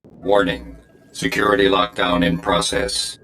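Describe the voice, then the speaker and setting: An actor playing an impassive prison security computer in a video game, making flat announcements. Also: male voice, sits low, a little slow. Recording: echoey, faint background noise.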